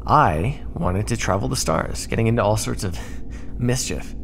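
A sarcastic, prickly starship crewman, voiced by a man in an ASMR roleplay. His pitch swings widely as he snaps and grumbles with exasperation. A faint ambient hum sits behind him. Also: expressive, vocal fry. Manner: sarcastic, exasperated, quick-tempered.

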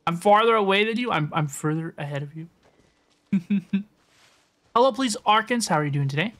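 A woman's voice: medium pitch, expressive, normal speed, fairly bright tone. A woman livestreaming a video game, chatting casually to viewers and often repeating her phrases. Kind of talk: casual livestream chat over gameplay. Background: game music.

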